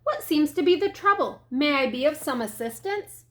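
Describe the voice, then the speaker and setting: A woman's voice: sits high, lively intonation, normal speed, fairly bright tone. A woman voicing a male storybook big bad wolf, wounded and eager to please, with an emotional delivery. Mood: sweet, hopeful. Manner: emotional, swinging from sweet pleading to howling.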